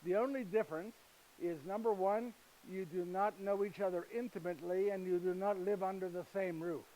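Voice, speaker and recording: A man's voice: high voice, steady tone, a little fast, dark tone, a little hiss or hum, close to an everyday voice, poor audio. A man playing a chatty foreign-accented storyteller husband. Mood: fond storytelling. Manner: broken, foreign-sounding English with frequent "yes?" tag questions; chatty, storytelling, addresses listeners directly. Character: gentle, humorous male carpenter, husband and father, middle-aged. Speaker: actor performing a first-person character monologue. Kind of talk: live theatrical monologue.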